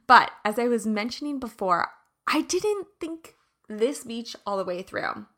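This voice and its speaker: A woman hosting a casual personal chat, her voice raspy from allergies, chatty and laughing often. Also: a little high, very animated, a little slow, fairly bright tone.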